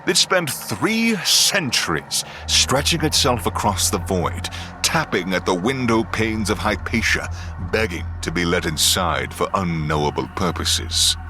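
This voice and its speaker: Serious space chronicler, deepish voice, solemn story narration, music under it. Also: male voice, sharp tone, heavily acted. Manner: measured, solemn, dramatic. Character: grave male chronicler of a space expedition. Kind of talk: scripted sci-fi audio drama narration.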